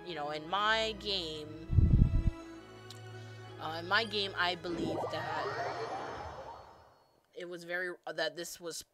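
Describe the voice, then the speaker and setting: A woman streamer rambling casually and excitedly, full of fillers and the odd swear word. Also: on the low side, takes it slowly, pauses often, loud music behind the voice. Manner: gushing, animated, swearing casually, lots of fillers. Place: home streaming setup.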